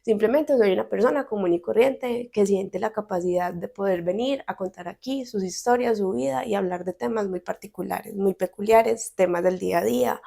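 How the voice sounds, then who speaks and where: Candid Colombian host in her thirties with a Medellín accent, casual solo talk, gravelly edge to the voice. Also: medium pitch, mellow. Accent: Medellín Colombian Spanish. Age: 30s. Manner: chatty, candid, uses slang freely. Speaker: podcast host. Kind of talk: casual solo podcast monologue.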